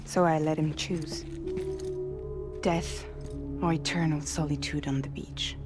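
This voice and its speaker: Casting: a resilient game heroine, earnest and warm-hearted, fairly low voice over music. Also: female voice, steady tone, measured pace. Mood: candid. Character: resilient, warm-hearted woman running a delivery company. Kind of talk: cinematic video game acting. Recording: music under the voice.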